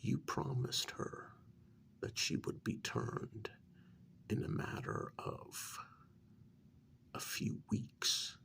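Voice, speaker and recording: A man playing a patient but exasperated vampire official in a soft-spoken ASMR role-play, halting and coaxing, with a breathy voice that often nears a whisper. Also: medium pitch, fairly even intonation, a little hiss or hum, heavily acted. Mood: exasperated, reproachful. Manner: halting, coaxing, many pauses.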